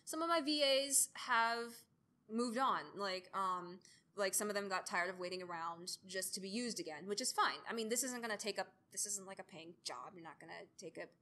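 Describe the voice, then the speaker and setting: A woman's voice: medium pitch, normal speed, pushed and bright. A woman in her early twenties giving an informal presentation as a student audio drama creator, rambling and self-deprecating. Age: early 20s.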